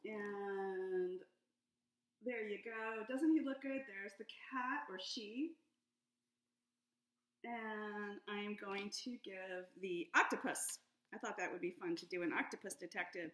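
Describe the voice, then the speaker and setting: A woman's voice: medium pitch, takes it slowly, fairly bright tone. A middle-aged woman, a children's book author, giving a friendly, encouraging step-by-step drawing lesson for kids from her home. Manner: upbeat, encouraging, step-by-step explaining. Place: home room with high ceiling. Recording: echoey room.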